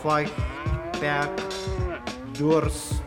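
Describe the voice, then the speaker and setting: A man's voice: on the high side, flat delivery, very slow. A man playing a solemn reader intoning a ceremonial roll call in a radio play. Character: solemn man reading out a list of names. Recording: music behind the voice.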